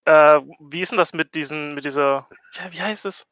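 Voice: medium pitch, moderate pace, fairly bright tone, dry recording, muffled recording. A player in a tabletop game podcast bantering casually in a cheeky, slangy way.

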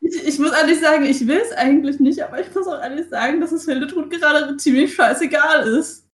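Female tabletop player, fairly high voice, very echoey room sound, casual delivery peppered with English phrases. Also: a little fast. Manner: mixes English phrases into her talk. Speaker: player in a tabletop role-playing game. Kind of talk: casual game-table chat, describing her character's moves.

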